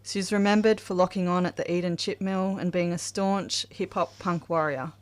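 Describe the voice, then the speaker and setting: Low-voiced Australian woman reading tributes. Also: steady tone, moderate pace. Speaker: podcast reader of memorial tributes.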